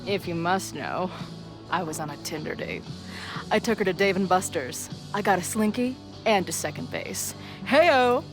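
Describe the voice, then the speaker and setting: Video game role: glib, sardonic young female sleuth and bluffer, low register, over music. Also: lively intonation, normal speed. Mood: bluffing. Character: wry, bluffing young woman, band manager turned amateur sleuth. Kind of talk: naturalistic video game acting. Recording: music under the voice.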